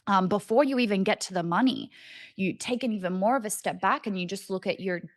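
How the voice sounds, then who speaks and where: A woman's voice: mid-range voice, brisk, no echo, clean studio sound. A young Australian woman, in her twenties or thirties, explaining things in a casual, conversational way as she thinks aloud. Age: 20s to 30s. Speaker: financial educator on cryptocurrency.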